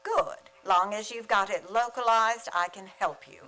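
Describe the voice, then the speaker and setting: Radio comedy role: wry female high school teacher, played by an actress, mid-pitched, dry sarcasm. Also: moderate pace, sharp tone, soft background music, lightly acted. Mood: deadpan. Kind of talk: old-time radio comedy acting.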